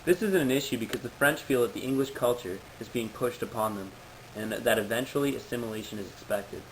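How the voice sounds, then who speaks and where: Male presenter, average pitch, formal scripted explainer read, amateur audio with noticeable background noise. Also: a little fast, low-quality recording. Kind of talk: prepared informational presentation, read out.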